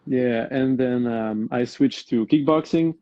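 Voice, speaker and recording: A man's voice: medium pitch, unhurried, dark tone, no echo, muffled recording. Hesitant young man from Belgium. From Belgium, Antwerp. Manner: hesitant, fills with "um" and "like". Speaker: podcast host. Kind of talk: casual interview.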